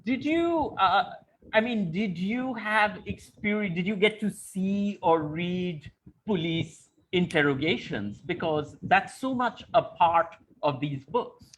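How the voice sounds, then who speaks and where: A man's voice: high voice, expressive, takes it slowly, fairly bright tone, slight background noise, poor audio. A man interviewing conversationally, thoughtfully explaining his way toward each question. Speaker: interviewer, moderator.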